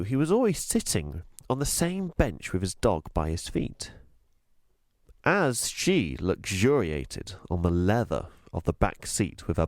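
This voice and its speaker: A man reading a children's storybook aloud as a teacher would, with expressive, deliberate, emphatic delivery. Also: mid-range voice, vocal fry, no echo, studio quality. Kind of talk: scripted storybook narration.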